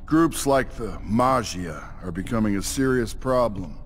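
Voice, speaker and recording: An actor playing a calculating older corporate villain in a game cutscene, deliberate and persuasive. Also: male voice, lowish voice, lively intonation. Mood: persuasive, scheming. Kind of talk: video game cutscene acting. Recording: music behind.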